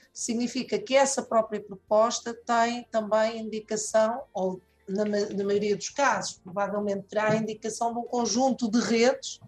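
A woman foundation director, answering interview questions deliberately, with frequent hesitations. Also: fairly high pitch, a little mellow.

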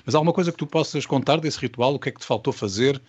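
A man's voice: mid-range voice, fast talker, husky. A man interviewing a guest conversationally, asking long, probing questions and repeating words as he goes.

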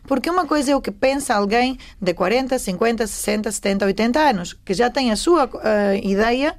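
A woman's voice: on the high side, animated, a little fast. A woman taking part in a panel discussion, explaining her views earnestly and emphatically in unscripted speech.

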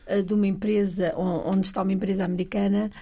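A middle-aged woman with a European Portuguese accent speaks as a radio guest, her voice gravelly and mellow. She tells her story with warmth and laughs often. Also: mid-range voice, fast. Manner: warm, laughing, lively storytelling. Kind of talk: relaxed radio interview.

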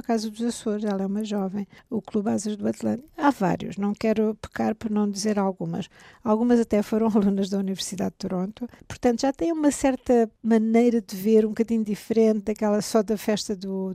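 An older woman speaks with a European Portuguese accent in a soft-edged, mellow tone with noticeable creak. She talks like a professor being interviewed, explaining by example and adding small tag questions. The sound is dry and close. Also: mid-range voice, no room sound. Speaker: university professor, interview guest. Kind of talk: conversational interview answers.

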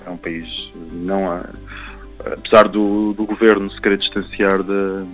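A young man speaks in a deep voice with a European Portuguese accent. He chats reflectively, circling back over words as he looks for the right phrase. The sound is slightly dull, with faint music underneath. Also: flat delivery. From Algarve, Portugal. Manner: halting, repeats words while searching for phrasing. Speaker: traveller and guide interviewed. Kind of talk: casual reflective interview talk.

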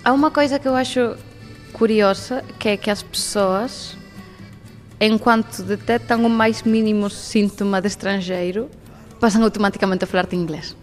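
A woman in her twenties from Galicia with a Galician accent, speaking as a guest on a radio programme, lively and laughing often. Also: medium pitch, moderate pace, faint music behind. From Galicia, Spain. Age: 20s. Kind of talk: radio testimony.

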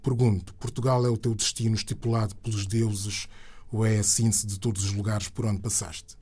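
Husky, mellow man hosting a radio interview. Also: lowish voice, flat delivery. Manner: formal, literary, deliberate phrasing. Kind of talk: measured, scripted radio interview presenting.

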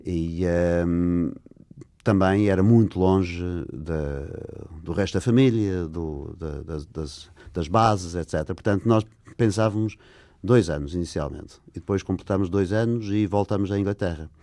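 A man's voice: sits low, unhurried, dark tone, dry recording, clean studio sound. An older man from England, speaking Portuguese as a learned language, answering interview questions hesitantly with many fillers. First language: English. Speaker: guest. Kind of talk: interview conversation.

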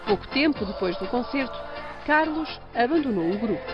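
A woman's voice: a little high, lively intonation, a little fast. A woman reading scripted voice-over narration as a TV reporter. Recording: dull archive TV clip with music.

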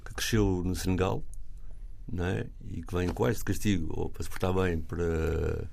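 A man's voice: deep voice, fairly even intonation, normal speed, gravelly, a little mellow. A male radio host interviewing a guest in a conversational way, asking questions with casual fillers.

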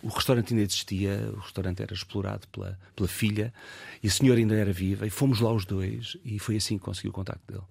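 A Portuguese man in his fifties with a European Portuguese accent, an actor talking reflectively in an interview, pausing and restarting as he thinks. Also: on the low side, steady tone, gravelly, a little mellow, husky, no room sound. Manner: reflective, hesitant with many fillers and restarts. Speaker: actor and guest. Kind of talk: casual interview conversation.

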